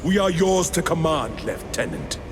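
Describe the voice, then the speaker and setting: An actor playing a terse, critical space marine in a video game, with a dry and formal way of speaking. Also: male voice, a little high, animated, normal speed, roomy sound, recorded from a distance, music in the background, natural voice. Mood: stern, dry.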